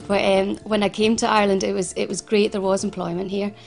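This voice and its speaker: A woman with a Glasgow accent tells her own story in an interview, earnest and halting, stumbling over and repeating her words. Her voice is mid-pitched and held level, with little rise and fall. Soft music plays faintly underneath. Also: level tone, gravelly. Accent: Scottish, Glasgow. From Scotland. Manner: earnest, frequent restarts and repetitions. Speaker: founder of a financial advice service. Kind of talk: personal interview testimony.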